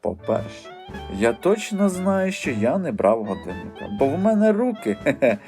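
Man voicing a smug, taunting teenage boy, very lively. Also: fairly high pitch, moderate pace, soft background music, pitched above the performer's own voice. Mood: sly swagger. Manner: sneering, mock-innocent, swaggering street slang. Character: mocking teenage boy gang leader, sly, theatrically polite.

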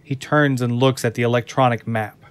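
A man's voice: medium pitch, steady tone, brisk, smooth, dry recording. A man reading scripted narration for an audio drama. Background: music.

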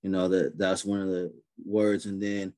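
Relaxed man hosting a video call. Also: low-pitched, normal speed. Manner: relaxed, many fillers and restarts. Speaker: event host. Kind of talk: casual moderating on a video call. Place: indoor room on a video call. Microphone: webcam on a video call.

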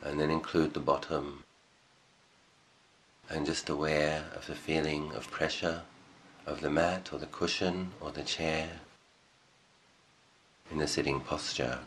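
Casting: male meditation guide, exceptionally deep register, unhurried short phrases and long pauses, slight background noise. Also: level tone, fairly bright tone. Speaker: meditation teacher.

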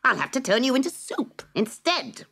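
A cruel old storybook witch who snaps and gloats in a shrill, high voice that swoops all over the place. Her words come out sharp and spiteful, with a husky edge underneath. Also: big pitch swings, normal speed, full character voice. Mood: snapping. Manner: shrieking, snapping, gloating. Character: cackling short-sighted old female witch, cruel.

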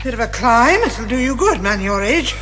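An actress playing a brisk, commanding older British lady detective in a theatrical radio detective comedy. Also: fairly high pitch, sing-song, fairly bright tone, faint music behind. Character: bossy, eager older lady amateur detective, a retired major.

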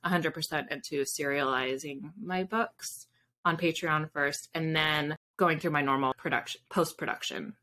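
A woman's voice: deep voice, fairly even intonation, normal speed, bright, forceful tone. A woman in her thirties chatting casually as an interview guest on a video call, informal and self-deprecating. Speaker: guest, fiction author and podcaster. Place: indoor home room with bookshelves. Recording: video call with background noise, clicks.

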